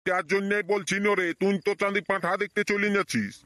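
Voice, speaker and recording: A man plays a grumbling village father haggling at a market in a comedy cartoon. He is blunt and exasperated, with a Purulia Bengali accent, talking briskly in a sharp, dry-recorded voice. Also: high-pitched, level tone, no room sound.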